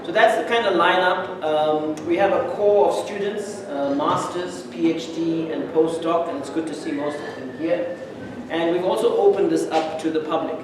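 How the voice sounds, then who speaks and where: A man with a slightly high voice introduces a speaker at an academic seminar. He talks informally and in measured phrases with pauses. The sound is distant and very echoey, with clear noise in the room.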